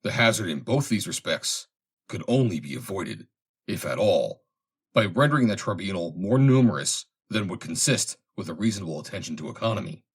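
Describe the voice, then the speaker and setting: A man reading a formal historical essay aloud in a measured, deliberate way. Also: low voice, very animated, husky. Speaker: reader, narrator.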